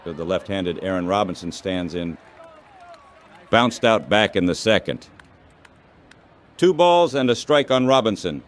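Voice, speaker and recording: A man calling baseball play-by-play as an announcer, in short, measured phrases. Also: low-pitched, lively intonation.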